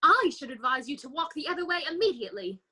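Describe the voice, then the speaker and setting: A pert little talking flower played by a woman sounds sassy and disdainful in a children's storybook play. The voice is high, sharp and very animated. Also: quick pace, full character voice. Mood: knowing. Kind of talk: children's readers theater read-aloud.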